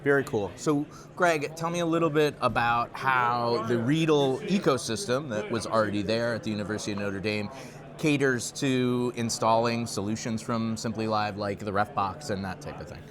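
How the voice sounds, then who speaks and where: Male event interviewer, upbeat and informal, mid-pitched, busy crowd behind him. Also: moderate pace. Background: convention crowd chatter.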